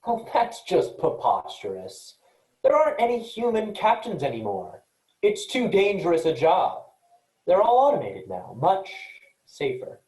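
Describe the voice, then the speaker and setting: A man's voice: high-pitched, huge pitch swings, measured pace, darkish tone, heavily acted. A man playing an earnest, cautious, literal-minded space freighter worker, speaking precisely and matter-of-factly in a staged reading. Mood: puzzled, defensive. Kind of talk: virtual staged reading of a sci-fi play. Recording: echoey room.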